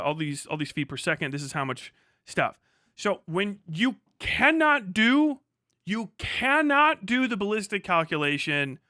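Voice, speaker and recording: A man's voice: a little high, bright, forceful tone, no echo, clean studio sound. A man hosting a livestream, explaining things casually in a rambling, sweary way with asides to himself. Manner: rambling, self-interrupting asides. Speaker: livestream host.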